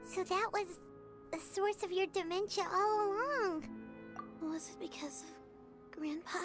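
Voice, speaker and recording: Sweet anxious girl, extremely high voice, slow delivery, earnest, fantasy game, music behind. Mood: dismayed. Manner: concerned, earnest questioning. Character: worried young granddaughter, sweet and anxious. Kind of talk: scripted video game voice acting.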